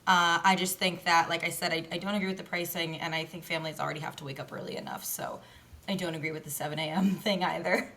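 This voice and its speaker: A woman's voice: on the low side, fairly even intonation, quick pace, creaky, bright, forceful tone, slight background noise. A young woman co-hosting a casual podcast, chatty and opinionated, often laughing as she talks. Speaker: podcast co-host. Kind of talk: casual chat.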